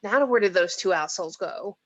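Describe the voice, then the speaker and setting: An exasperated, sceptical woman, played at a table read. Her voice is medium in pitch and recorded dry, with a dull lo-fi tone. She delivers lines wryly. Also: a little fast, no room sound, lightly acted. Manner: wry, exasperated. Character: exasperated, sceptical adult woman, reluctant chosen one. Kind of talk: amateur screenplay table read.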